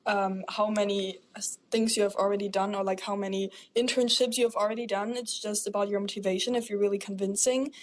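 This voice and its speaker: A young woman from Germany in her twenties, co-hosting a casual podcast, animated and giggly with plenty of "like" in her speech. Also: medium pitch, a little fast, few pauses. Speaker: podcast co-host.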